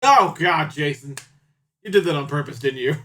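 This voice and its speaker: A man with a slightly high voice hosts a visual novel stream. He talks casually and banters playfully, moving between reading out choices and commenting on them. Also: animated, normal speed, bright, forceful tone. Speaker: livestream host reading out game and chat choices.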